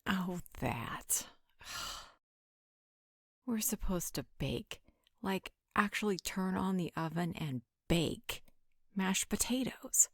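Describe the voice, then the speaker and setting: A woman's voice: fairly low pitch, expressive, takes it slowly, a bit bright, slightly rough, no echo, heavily acted. A woman playing a blunt, flippant supernatural partner in a scripted roleplay audio drama, dry and offhand. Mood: dry, reluctant.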